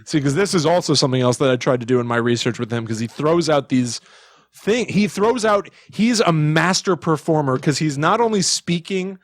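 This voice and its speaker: A man co-hosting a podcast in casual chat, animated and often incredulous as he recounts things. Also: on the high side, fairly quick, no room sound. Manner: animated, reads out and re-enacts quotes incredulously. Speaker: podcast co-host.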